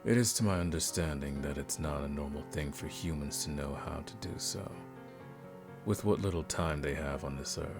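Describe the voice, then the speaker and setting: A man playing a suave, predatory aristocratic vampire in an intimate ASMR roleplay, speaking formally and seductively with a teasing menace and breathy drops toward a whisper. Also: a bass voice, moderate pace, music in the background.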